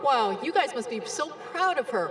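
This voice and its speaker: Female field reporter, brightish voice, echoey stadium with crowd noise, cheerful. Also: high voice, big pitch swings, moderate pace. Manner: bubbly, encouraging. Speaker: roving reporter. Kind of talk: live field interviews. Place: outdoor stadium stands. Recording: roomy with crowd ambience. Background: crowd ambience.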